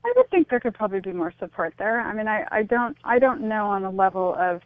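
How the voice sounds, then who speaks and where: A middle-aged American woman speaking as a radio interview guest, reflective and halting as she restarts her thoughts. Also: mid-range voice, lively intonation, no room sound. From United States. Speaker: interview guest, suicide-loss advocate. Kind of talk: earnest radio interview conversation. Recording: muffled phone-like radio line.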